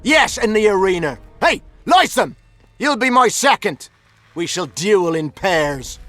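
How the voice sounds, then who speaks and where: A notorious killer from a fantasy video game. He is haughty and threatening, pitched high for a man, with faint music behind him. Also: sing-song, a little slow, a bit bright, no echo, exaggerated voice. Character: arrogant menacing duelist, notorious killer called the Butcher.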